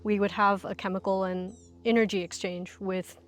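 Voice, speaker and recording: A woman's voice: medium pitch, little pitch movement, normal speed. A woman speaking as an interview guest and instructor, explaining ideas conversationally and thinking aloud in long sentences.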